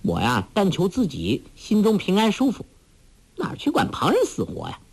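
A man's voice: high-pitched, huge pitch swings, normal speed, husky, pitched above the performer's own voice, exaggerated voice. A man voicing a clever, bold young woman in a martial arts story, teasing and then imperious in turn. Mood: playful. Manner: coy and playful, then imperious.

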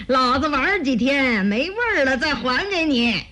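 A woman's voice: high voice, expressive, unhurried, sharp tone, exaggerated voice. A woman storyteller voicing an arrogant, lecherous young male villain with a mocking, scornful delivery. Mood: sneering, mocking.

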